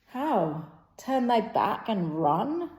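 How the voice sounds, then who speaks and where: A woman's voice: mid-range voice, sing-song, drawn-out words, a little mellow. Woman reading as a teasing servant sidekick, echoey. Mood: needling. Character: teasing, sceptical male servant sidekick in an Elizabethan play. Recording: roomy, echoing sound.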